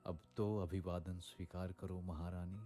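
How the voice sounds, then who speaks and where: A man playing a gentle, devoted young prince and husband in a mythological cartoon, speaking tenderly and solemnly. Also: sits low, little pitch movement, normal speed, soft background music, natural voice. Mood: tender, teasing.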